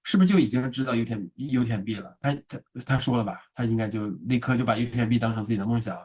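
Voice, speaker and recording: Man, host, husky, quick, casual interview, stammering. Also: medium pitch, a little mellow. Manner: stammers and repeats words, asks long questions. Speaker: podcast host.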